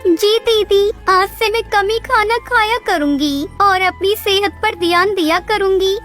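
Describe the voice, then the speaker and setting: A woman's voice: very high voice, a little slow, fairly bright tone. A woman voicing a stubborn, greedy, chubby female bird in a cartoon story, with a whiny, childlike manner. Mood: apologetic. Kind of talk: animated Hindi moral story dialogue. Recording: music bed behind the voice.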